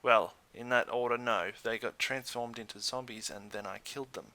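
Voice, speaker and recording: A man's voice: mid-range voice, normal speed, sharp tone, rough recording. A man hosting a gaming video with casual commentary, hesitant and thinking aloud as he goes.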